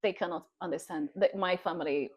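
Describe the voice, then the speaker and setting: Brazilian-accented woman, lower voice. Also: fairly quick, a little mellow, slightly rough, dull audio. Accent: Brazilian Portuguese. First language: Portuguese. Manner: hesitant, with many fillers and restarts. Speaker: guest. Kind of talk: casual chat.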